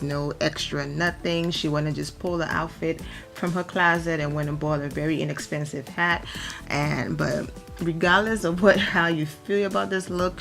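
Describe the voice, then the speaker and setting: Hoarse deep-voiced woman doing a gossip vlog, rambly, lots of ums, low and crackly. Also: fairly bright tone. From Atlanta, Georgia. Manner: chatty, rambling with many fillers. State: hoarse, nearly losing her voice from allergies. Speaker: celebrity gossip vlogger. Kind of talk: casual gossip commentary.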